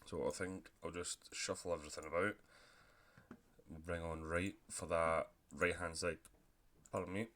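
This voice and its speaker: Male gaming commentator, deep voice, sore throat, relaxed chatty delivery with frequent throat-clearing. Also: moderate pace, long pauses. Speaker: gaming YouTuber. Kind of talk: casual commentary over a football management game.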